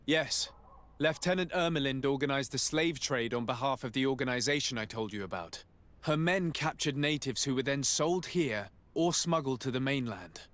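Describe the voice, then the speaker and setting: Actor as composed noble envoy, measured man's voice. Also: medium pitch, lively intonation, fairly bright tone, lightly acted. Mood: composed, firm. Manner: formal, measured, purposeful. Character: composed diplomatic noble envoy, earnest and decisive. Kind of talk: scripted video game acting.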